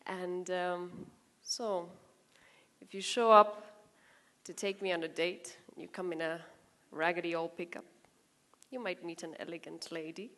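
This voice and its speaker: Kenyan woman telling her story. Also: on the low side. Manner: lively, laughing, acts out quoted voices. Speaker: speaker giving a talk. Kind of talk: personal storytelling talk.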